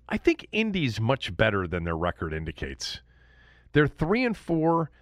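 A man with a fairly low, very lively voice talks sports solo as a podcast host. His delivery is conversational and opinionated, leaning hard on his points. The sound is close and dry. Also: no room sound. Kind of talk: casual sports recap commentary, talking solo to listeners.

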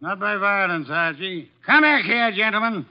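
Imperious male detective for vintage radio drama: pompous, precise, raised pitch, dull old recording. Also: expressive, moderate pace. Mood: stern, commanding. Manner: pompous, formal, precise diction. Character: imperious, irritable, money-minded male private detective. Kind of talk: 1950s radio drama acting. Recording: dull, band-limited 1950s radio recording.

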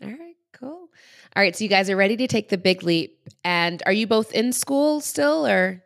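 A woman's voice: mid-range voice, expressive, normal speed, creaky. A woman hosting a podcast in a casual, chatty interview style, with an upbeat, informal and encouraging manner. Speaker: podcast host and wedding planner.

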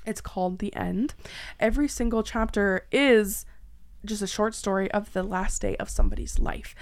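Woman, podcast host, casual chat, animated, self-deprecating, salty-tongued. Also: medium pitch, moderate pace. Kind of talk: casual chatty podcast banter.

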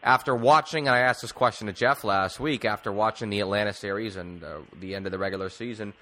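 A man's voice: fairly low pitch, fast talker, bright, forceful tone. A man hosting a casual sports podcast, rephrasing as he circles toward long, rambling questions.

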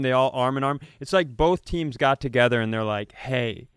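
A man's voice: mid-range voice, smooth, no room sound, clean studio sound. A man co-hosting a comedy podcast, telling casual comic stories with profane, jokey banter.

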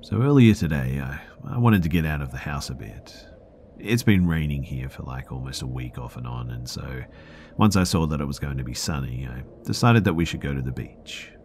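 Man with a super deep voice reading spooky true stories, kinda hushed, faint hum behind. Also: animated, normal speed. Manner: hushed, slipping into whispers at tense moments. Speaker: podcast narrator. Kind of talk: first-person scary story narration.

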